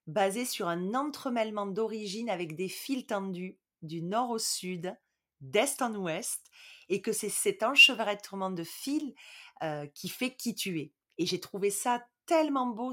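A woman's voice: mid-range voice, pushed and bright. Lyrical woman interview host. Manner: lyrical, admiring, a little formal.

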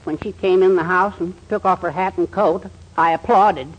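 A man's voice: high voice, fairly even intonation, normal speed, lo-fi sound, lightly acted. An actor playing a sheepish, middle-aged henpecked husband and father in 1940s radio comedy, hesitant and hemming. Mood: confiding. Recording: 1940s radio broadcast with faint noise.

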